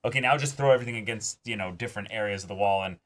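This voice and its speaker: A man's voice: on the low side, rapid-fire, a bit bright. A man co-hosting a reaction show, talking casually and critically, acting out imagined reactions as he goes. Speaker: co-host of an anime reaction show.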